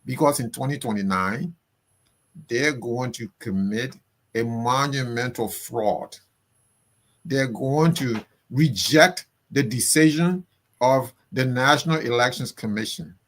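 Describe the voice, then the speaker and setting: Indignant Liberian man, slow and emphatic. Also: mid-range voice, a bit bright. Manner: indignant, emphatic, repeats phrases for force. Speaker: political commentator guest. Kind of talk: impassioned political commentary.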